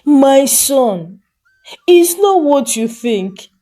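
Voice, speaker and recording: A woman's voice: high-pitched, big pitch swings, very slow, dark tone, voice pushed up, exaggerated voice. A woman voicing a jealous, scheming mother of a grown son in a folktale, emphatic and commanding in manner. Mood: pleading.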